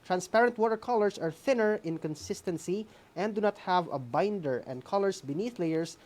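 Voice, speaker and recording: A woman's voice: mid-range voice, normal speed, darkish tone. A woman with a Visayan Filipino accent hosting an on-air lesson, moving between reading and informal asides, often adding "no?" as a tag. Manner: code-switching, frequent "no?" tags. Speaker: host of a radio art class.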